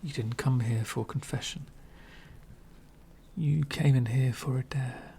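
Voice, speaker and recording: A man playing a lonely, courteous, centuries-old vampire in an ASMR roleplay, speaking formally and wistfully in soft, breathy whispers. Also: mid-range voice, fairly even intonation, a little hiss or hum. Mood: melancholy, wistful. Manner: formal, wistful, slow and deliberate.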